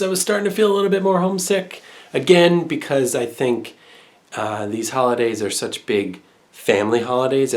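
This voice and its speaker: A young man talking casually in a vlog, his voice nasal and congested, rambling with lots of fillers and restarts. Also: mid-range voice. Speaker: English teacher abroad.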